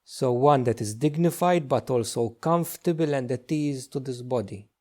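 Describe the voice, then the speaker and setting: A man leading a guided meditation as a teacher, speaking gently and slowly with long pauses. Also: mid-range voice. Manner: slow, hushed, gentle delivery with long pauses.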